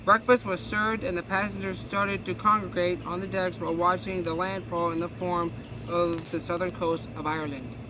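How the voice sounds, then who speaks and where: A man's voice: sits high, fairly even intonation, normal speed, noisy background. A man reading a history book aloud, stumbling and correcting himself casually as he goes. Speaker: reader.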